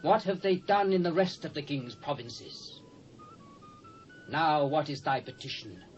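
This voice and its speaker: A man's voice: on the high side, wildly swooping pitch, a little slow, faint music behind. A British man playing a commanding, stately Persian king in a dramatised audio Bible. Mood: magnanimous, imperious. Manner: stately, declamatory. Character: commanding Persian king granting royal decrees. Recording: dull lo-fi cassette transfer.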